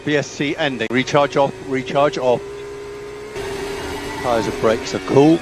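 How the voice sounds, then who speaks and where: A man's voice: medium pitch, unhurried, music in the background. A man working as a race engineer, giving clipped, repeated instructions over motorsport team radio. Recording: noisy car team radio.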